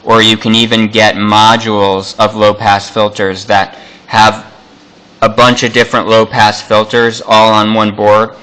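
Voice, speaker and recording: A man's voice: lowish voice, pushed and bright, smooth, poor audio. A man giving an unscripted hands-on electronics demonstration, explaining things in a casual, matter-of-fact way.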